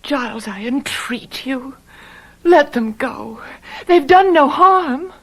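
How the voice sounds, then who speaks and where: An actress playing a distressed, pleading wife in an old radio melodrama, formal and fervent. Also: female voice, sits high, lively intonation, slow, full character voice, low-quality recording. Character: distressed, pleading wife, bitter toward cruel husband. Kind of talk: old radio drama acting.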